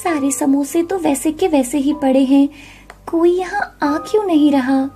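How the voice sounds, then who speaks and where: A woman voicing a confident, helpful, resourceful young woman in an animated story. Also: high voice, a little slow, faint music behind. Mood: earnest, practical.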